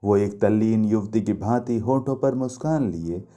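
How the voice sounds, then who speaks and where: A man's voice: on the low side, normal speed, dark tone, natural voice. A man playing an earnest, devoted young student from mythology in a story, speaking reverently and in a declamatory way. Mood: fond, nostalgic.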